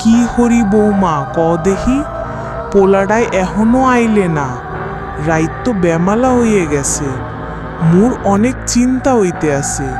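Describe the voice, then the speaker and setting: A woman voicing a fretful old village mother in a horror cartoon, with a Barisal accent and loud music behind her. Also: medium pitch, unhurried, natural voice. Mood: anxious. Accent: rural southern Bangladeshi (Barisal). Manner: fretful, lamenting. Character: anxious old village mother fretting over her son.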